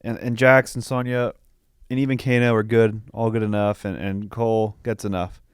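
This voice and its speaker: A man's voice: lowish voice, level tone, moderate pace, clean tone, no echo, studio quality. A man co-hosting a podcast, talking casually and feeling his way through his opinions. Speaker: podcast co-host. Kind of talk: casual movie review chat.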